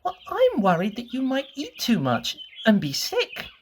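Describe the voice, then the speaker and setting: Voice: mid-range voice, wildly swooping pitch, a little slow, slight background noise, pitched above the performer's own voice. A storyteller voicing an anxious, fretful little man with a pleading manner in a children's bedtime story.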